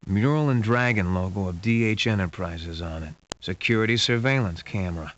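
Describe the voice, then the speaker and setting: A man's voice: lowish voice, normal speed, fairly bright tone, natural voice. A man playing a wry hard-boiled detective who narrates a sci-fi adventure game in a dry, dramatic, scripted noir style. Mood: ominous, matter-of-fact. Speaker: game narrator and lead character. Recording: muffled lo-fi game audio with hiss.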